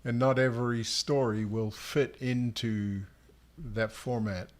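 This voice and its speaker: A man co-hosting as an author, explaining things to readers in a casual, halting way. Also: medium pitch, fairly even intonation. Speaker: author and livestream co-host.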